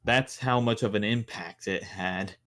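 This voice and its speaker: A man with a low voice chats on a casual podcast and takes his time with each sentence. His humor is dry and sarcastic. He sounds unhurried. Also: fairly bright tone. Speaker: podcast co-host. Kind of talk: casual chat.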